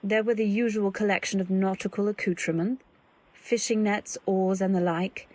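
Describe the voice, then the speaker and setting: An actress playing an anxious young wife, telling her story in measured, literary old-time radio drama style. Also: mid-range voice, fairly even intonation, a little mellow, lightly acted. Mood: pensive, uneasy.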